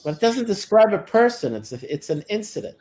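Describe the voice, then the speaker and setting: A man's voice: high-pitched, sing-song, brisk, darkish tone. A man whose first language is Hebrew, speaking in a casual chat, hesitant with many filler sounds but insistent on his point.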